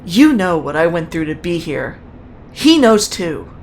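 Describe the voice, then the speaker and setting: A woman's voice: on the low side, big pitch swings, unhurried, slight background noise. A woman playing a defiant, sardonic young sniper in a sci-fi audio drama, with a dry, cocky delivery. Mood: frustrated.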